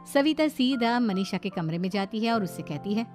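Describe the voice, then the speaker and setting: High-pitched woman narrating a cartoon story. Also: expressive, normal speed, darkish tone, faint music behind.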